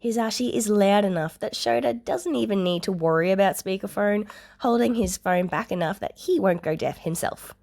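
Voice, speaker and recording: A woman's voice: mid-range voice, normal speed, creaky, a little mellow. A woman reading scripted fiction aloud as a narrator. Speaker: podfic narrator.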